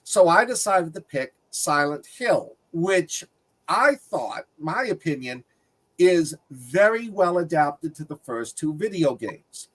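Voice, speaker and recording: A man's voice: on the high side, very animated, takes it slowly, fairly bright tone, low-quality recording. A man co-hosting a film review show, talking casually with the other panelists. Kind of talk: casual panel chat about films.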